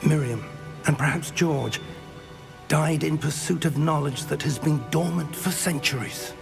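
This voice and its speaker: Brief: scholarly wizard mentor, game drama, slightly high male pitch, gravelly creak, music behind. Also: unhurried. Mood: awed curiosity. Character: learned, earnest older male wizard professor, curious and resolute. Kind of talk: dramatic video game acting.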